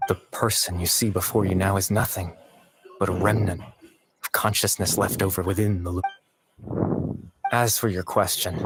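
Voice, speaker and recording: A melancholy young man who lingers only as a consciousness, played by a male actor in a video game. His low voice is wistful and courteous, confessing with hesitant regret. Clear music plays behind him. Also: lightly acted, poor audio. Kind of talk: video game story dialogue acting.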